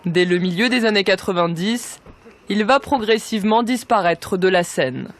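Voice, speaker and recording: A woman reads scripted documentary narration as the programme's reporter. Her voice sits at an average pitch. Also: expressive, normal speed, no room sound.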